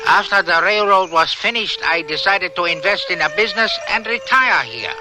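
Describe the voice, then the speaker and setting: An actor playing a courteous, reflective Chinese laundry owner, narrating in measured, formal phrases for a radio drama. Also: male voice, sits high, lively intonation, music in the background, poor audio.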